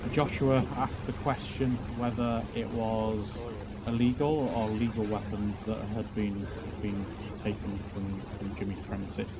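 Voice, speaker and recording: A man testifying as a witness in a roleplay court, talking formally and haltingly, often repeating words. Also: lowish voice, flat delivery, heavy background noise.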